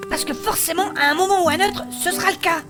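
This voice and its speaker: A woman playing a gentle, sensible fantasy adventurer in a comic audio drama, polite, measured and mildly chiding. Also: very high voice. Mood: explaining. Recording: music behind the voice.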